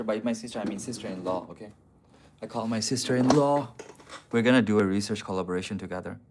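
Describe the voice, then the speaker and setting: A man's voice: low-pitched, slight background noise. A man on a casual live stream, answering viewer comments in a blunt, profane, argumentative way. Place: city streets outdoors.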